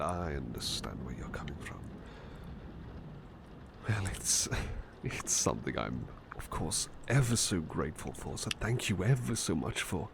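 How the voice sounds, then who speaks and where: A man acts out a devoted, gentle butler in a personal-attention ASMR roleplay. He speaks slowly and softly with a gravelly creak and lively rises and dips, often dropping to a whisper. His manner is polite, tender and slightly hesitant. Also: low voice, background noise, exaggerated voice.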